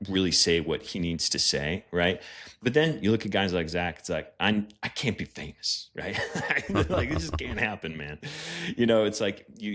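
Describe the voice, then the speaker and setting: A man's voice: low-pitched, fairly bright tone. A man, a pastor and writer, talking as a podcast guest in a loose, rambling way, often checking in with "right?". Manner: rambling, tags thoughts with "right?". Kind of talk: casual podcast conversation.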